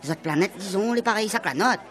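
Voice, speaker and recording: A woman's voice: mid-range voice, quick pace, a faint crowd, natural voice. A woman with a Réunion Creole accent voicing a hungry, blunt earthling buddy in a comic radio serial. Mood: teasing. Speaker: narrator and character actor in a radio serial. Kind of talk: radio serial acting in Creole-flavoured French.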